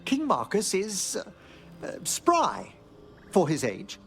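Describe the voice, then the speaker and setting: Genteel scholarly necromancer guy in a fantasy game, highish voice, very expressive, music underneath. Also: gravelly, full character voice. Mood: polite, wry. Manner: formal, genteel, measured phrasing. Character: courteous, scholarly gentleman necromancer of the Watchers.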